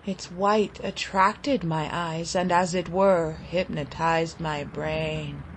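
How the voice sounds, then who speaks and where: A woman narrating a literary short story in a deliberate, expressive, dramatic way with long pauses. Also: low-pitched, slight background noise, low-quality recording. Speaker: audiobook story reader.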